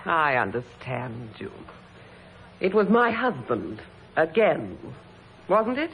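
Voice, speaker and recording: A woman's voice: deep voice, very animated, takes it slowly, heavily acted. A woman playing a composed, genteel, kindly mistress and wronged wife in an old-time radio drama. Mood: concerned. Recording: hissy vintage radio recording.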